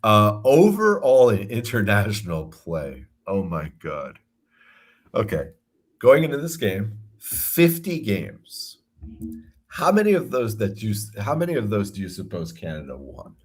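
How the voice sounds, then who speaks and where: A low, husky American man talking sports on a podcast. He takes his time, but his pitch rises and falls a lot as he rambles and chuckles through his points. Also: wildly swooping pitch, unhurried. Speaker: podcast co-host. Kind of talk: casual sports chat.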